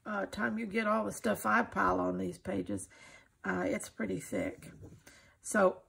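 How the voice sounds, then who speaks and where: An older woman with a husky, gravelly voice, giving an informal, folksy and encouraging craft demonstration. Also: medium pitch, fairly even intonation, measured pace, a bit bright. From United States. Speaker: craft tutorial host. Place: indoors at a craft table.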